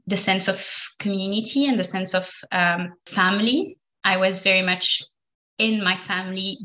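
A young woman from Morocco answering interview questions in a thoughtful way, pausing often with "um" and "like". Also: mid-range voice, pushed and bright, rough recording. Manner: thoughtful, with frequent ums and likes. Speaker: guest.

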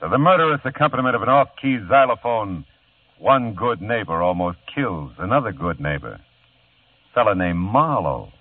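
A man's voice: on the low side, sing-song, moderate pace, breathy edge. An actor playing a dry, wry male private detective in a 1950s radio play, with clipped, world-weary narration. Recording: tinny old radio broadcast with music.

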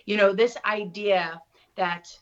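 A woman's voice: mid-range voice, fairly even intonation, slow pace, fairly bright tone. A middle-aged woman with a South African accent, talking reflectively and anecdotally as an interview guest. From Durban, South Africa. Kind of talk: conversational interview. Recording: slightly dull, lo-fi audio.

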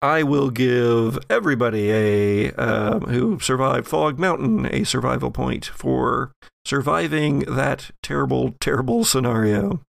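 Male game master, creaky voice, dry close sound, narration and banter. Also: medium pitch, lively intonation, unhurried, no room sound.

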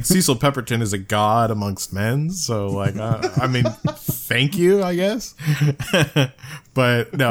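A man hosting a podcast, chatting casually, rambling with lots of fillers and laughing often. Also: medium pitch. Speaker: podcast host.

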